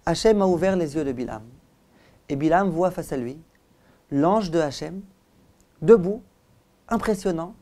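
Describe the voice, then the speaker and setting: A man, a rabbi, giving a religious lesson as animated storytelling, with dramatic pauses and direct address to his listeners. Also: sits high. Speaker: rabbi, teacher.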